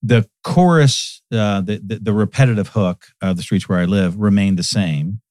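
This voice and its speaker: A man's voice: fairly low pitch, moderate pace, darkish tone, no room sound, clean studio sound. A man hosting an interview, effusive and eager, often finishing his guest's sentences. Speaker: interview host. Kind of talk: casual interview chat. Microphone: studio microphone.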